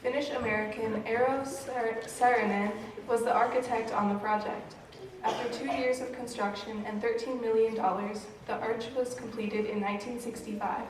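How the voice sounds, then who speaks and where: A woman's voice: a little high, steady tone, moderate pace, background noise. A young-sounding student presenter, a woman, giving a scripted talk to an audience. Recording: very echoey room, distant mic.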